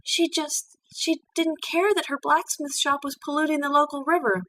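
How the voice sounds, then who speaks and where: A woman's voice: high voice, level tone, normal speed, fairly bright tone, pitched above the performer's own voice. A woman voicing an earnest, eager young female pony princess who stammers and flusters easily, in a storybook reading. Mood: upset. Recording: distant mic with background noise.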